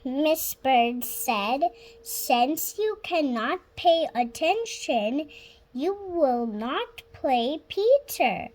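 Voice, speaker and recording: A girl of about six reading a children's storybook aloud, halting word by word, stumbling and correcting herself. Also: high-pitched, animated, a little mellow. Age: child, about 6 years old. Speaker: young reader practising reading aloud.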